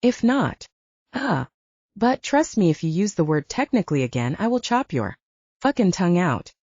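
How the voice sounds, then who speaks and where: A woman's voice: fairly low pitch, big pitch swings, moderate pace, darkish tone, breathy edge, no room sound, highs cut off. A woman voicing a hot-headed, foul-mouthed male werewolf soldier who threatens and growls in an audiobook. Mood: furious, threatening. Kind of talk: audiobook character dialogue.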